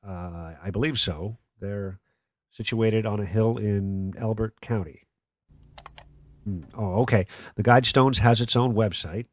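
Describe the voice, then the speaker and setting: An actor playing a skeptical, sardonic late-night call-in radio host in a radio play, with a dry, measured manner. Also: male voice, fairly low pitch, no room sound, lightly acted. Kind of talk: radio play acting as talk radio.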